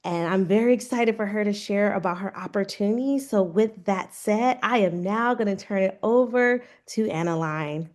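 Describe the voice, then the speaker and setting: A woman's voice: mid-range voice, normal speed, few pauses, darkish tone. Woman hosting a virtual fair. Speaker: event host. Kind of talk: scripted event introduction. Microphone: video call.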